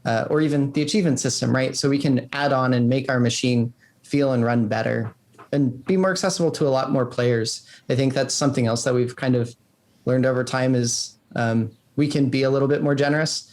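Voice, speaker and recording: A man's voice: medium pitch, steady tone, brisk, a little mellow. A man answering interview questions as a game developer, casual and rambling, often saying "like". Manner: rambling, peppered with "like".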